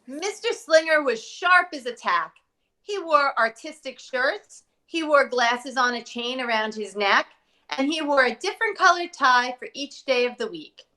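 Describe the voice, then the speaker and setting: Bright, higher-pitched woman reading to children. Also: unhurried. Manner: expressive, addressing children directly. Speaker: teacher reading aloud to pupils. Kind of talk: children's storybook read-aloud.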